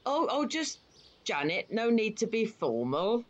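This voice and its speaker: A woman's voice: a little high, big pitch swings, a little slow, muffled recording, slight background noise. An actress playing a fussy, effusive older mother and hostess in an audio play. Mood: gushing. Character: fussy, hospitable older mother, scolding her husband.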